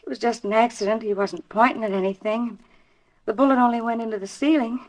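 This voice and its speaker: An actress playing an anxious, pleading wife in a 1950s radio drama, speaking hesitantly and earnestly. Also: female voice, on the high side, steady tone, fast, lightly acted. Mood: anxious, insistent. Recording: dull vintage 1950s radio recording.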